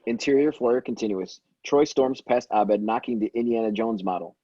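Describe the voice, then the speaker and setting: A man's voice: medium pitch, normal speed, mellow, no room sound, dull audio. A man reading script stage directions aloud in a plain, matter-of-fact way, with occasional stumbles. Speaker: stage directions reader at a table read.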